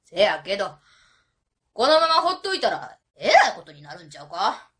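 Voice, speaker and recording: A woman acts out a hot-tempered Kansai auntie for a radio drama. Her voice is bright and forceful, swinging widely in pitch. She scolds bluntly in colloquial speech. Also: huge pitch swings, moderate pace. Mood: grudging. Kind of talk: web radio drama acting.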